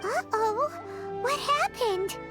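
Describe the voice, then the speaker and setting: A tiny floating fairy sidekick from a video game, squeaky and extremely high. The delivery is excitable and curious, swinging widely in pitch at an unhurried pace, over clear background music. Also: female voice, exaggerated voice. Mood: startled. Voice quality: squeaky, childlike. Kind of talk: video game character acting.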